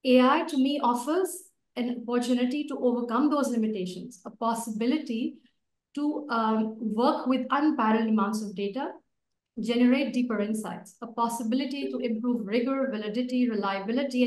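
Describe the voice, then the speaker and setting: A woman in her thirties, a native Urdu speaker, giving a prepared debate speech over a video call in a steady, list-like way. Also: fairly high pitch, fairly quick. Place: home room. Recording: echoey video call from far off.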